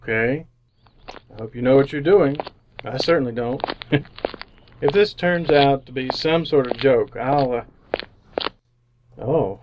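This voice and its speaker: An actor playing an awkward, lonely city bachelor in an old-time radio drama, halting and bemused as he talks and narrates. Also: medium pitch, noisy background, lightly acted.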